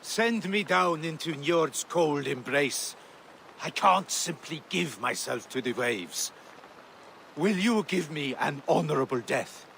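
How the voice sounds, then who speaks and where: A gravelly, forceful exiled Norse soldier declaims slowly, full of grief and longing for death. The style is archaic, dramatic video game acting. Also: male voice, a little high, lively intonation, a little hiss or hum, full character voice. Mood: desperate resolve.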